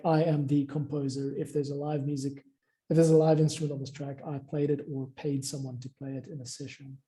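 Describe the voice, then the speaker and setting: Guy with a really soft dark mellow tone, slightly higher voice, chatting shop. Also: low-quality recording. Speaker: music composer and creator. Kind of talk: informal work chat.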